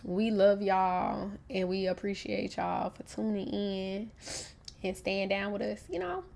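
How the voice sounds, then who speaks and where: Young Southern woman, North Carolina accent, congested, chatty livestream host, mid-pitched. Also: a little slow, gravelly, darkish tone. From Raleigh, North Carolina. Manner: chatty, talks straight to her viewers. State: congested, stuffy nose. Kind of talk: casual livestream chat.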